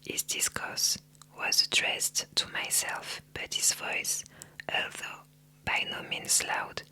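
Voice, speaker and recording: Slow ASMR whisperer reading a classic tale; breathy, bright, close-miked. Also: rough recording. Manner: slow, soft unvoiced whisper throughout.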